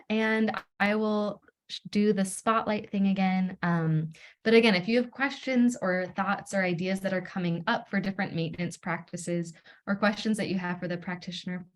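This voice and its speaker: A woman moderating a video call panel, speaking in a loose, rambling way with fillers as she thanks speakers and hands over. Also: mid-range voice. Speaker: event moderator.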